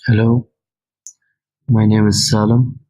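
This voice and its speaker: A man's voice: fairly low pitch, little pitch movement, takes it slowly, dark tone, poor audio. A man with an Omani accent giving a personal testimony in a voicemail message. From Oman.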